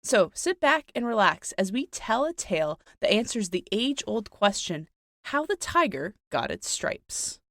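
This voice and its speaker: A woman's voice: medium pitch, big pitch swings, a little slow, fairly bright tone, no echo, studio quality. A woman hosting a podcast, moving between casual chat and folktale storytelling with light wry asides. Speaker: podcast host.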